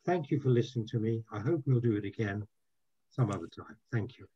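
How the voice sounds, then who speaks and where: A man's voice: on the low side, expressive, breathy edge, lo-fi sound. An older man, the guest speaker, giving a talk and taking questions on a video call in a room at home, in a friendly, chatty way. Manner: friendly, chatty, quick to agree.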